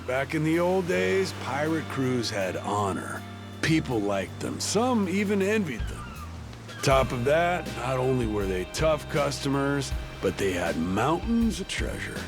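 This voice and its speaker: Easygoing pirate captain in a game, mid pitch but lively, folksy and frank, music behind him. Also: male voice. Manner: folksy, conversational. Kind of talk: video game cutscene acting.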